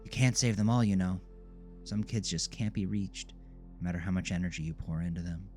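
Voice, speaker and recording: A man's voice: sits low, steady tone, moderate pace, a little mellow, faint music behind, close to an everyday voice. A man voicing a weathered, world-weary woman teacher in an audio drama, folksy and pityingly condescending. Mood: weary pity. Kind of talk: audio drama character dialogue.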